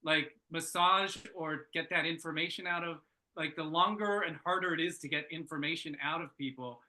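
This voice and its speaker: A man giving casual workshop feedback, thinking aloud in halting, searching phrases. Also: on the high side, bright, forceful tone, low-quality recording. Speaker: script reader giving feedback at a table read.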